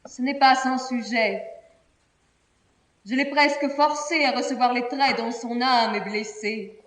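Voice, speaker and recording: An actress playing a proud, lovesick young princess, declaiming classical French verse tragedy in a solemn, impassioned and theatrical manner. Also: female voice, a little high, measured pace, natural voice. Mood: composed, dignified. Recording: roomy, distant theatrical studio recording.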